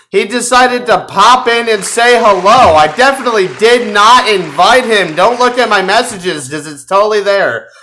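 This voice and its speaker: A man's voice: high-pitched, bright, forceful tone. A man hosting a podcast, chatting casually about video games in slangy, sweary bro talk. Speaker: podcast host.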